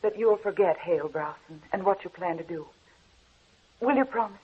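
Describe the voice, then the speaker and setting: An actress playing an earnest, principled career woman with a measured, dramatic delivery in an old-time radio play. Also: fairly low pitch, close to an everyday voice. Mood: earnest, firm. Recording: dull old radio recording.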